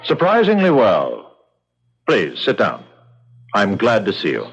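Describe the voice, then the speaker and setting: An actor playing a polite, coolly fascinated male prison boss in a 1970s radio drama, measured and deliberate. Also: on the low side, huge pitch swings, slightly rough, dull audio, faint music behind, exaggerated voice. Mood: fascinated, probing.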